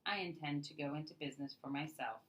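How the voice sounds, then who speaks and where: A woman's voice: low voice, quick pace, natural voice, rough recording. A woman reading a storybook voices a determined young woman inventor in a firm, plain-spoken way. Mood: decided.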